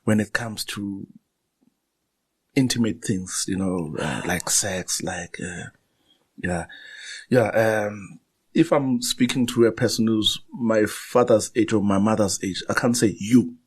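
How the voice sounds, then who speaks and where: A man's voice: fairly low pitch, a little slow, slightly rough. A man, a Zulu speaker, chatting as a guest writer in a casual interview, thoughtful and anecdotal, often restarting his sentences.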